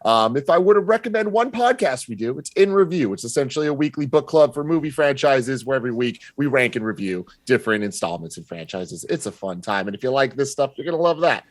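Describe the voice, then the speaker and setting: A man's voice: mid-range voice, lively intonation, fast talker, hardly any pauses, dry recording. Casual male podcast guest, dry sound. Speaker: podcast guest, games and movies commentator. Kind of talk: casual chat.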